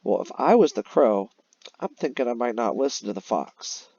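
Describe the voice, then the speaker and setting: A man's voice: mid-range voice, very animated, moderate pace, gravelly, a little mellow, husky, no echo, muffled recording. A man teaching young children in an explanatory way, as in a recorded read-aloud lesson. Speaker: elementary teacher. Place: voice-over on a screen-recorded slide lesson.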